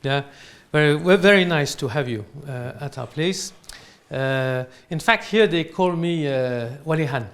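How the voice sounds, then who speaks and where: A man with a French accent answering interview questions in halting, unscripted non-native English. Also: a little high, lively intonation. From France. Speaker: interview guest, real estate company owner. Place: in his apartment.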